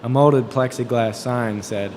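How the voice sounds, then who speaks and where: A man reading a scripted first-person story in a deadpan, terse way, as a wry, observant driver out with his girlfriend. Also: medium pitch, a little slow, slight background noise, close to an everyday voice. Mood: wry, detached. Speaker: author reading excerpts from his own novel. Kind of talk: scripted first-person narration with dialogue over music. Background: music.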